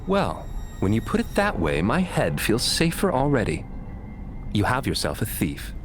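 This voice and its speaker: An actor playing a wry, roguish thief in a historical Chinese game drama, with a theatrical delivery. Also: male voice, mid-range voice, wildly swooping pitch, measured pace, noisy background. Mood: playful. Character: roguish, wry thief man, self-preserving but game.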